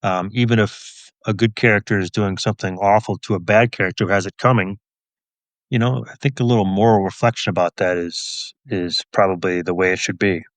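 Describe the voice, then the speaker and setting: Thoughtful man with a lowish voice. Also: steady tone, a little fast, no room sound. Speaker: author, interview guest. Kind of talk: casual, reflective interview answers.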